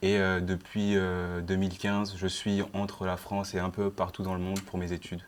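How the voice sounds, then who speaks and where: A man in his twenties from Benin, a student speaking quickly and assuredly in a casual group discussion. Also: deep voice, completely flat delivery, a little hiss or hum. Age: 20s. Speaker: engineering student guest.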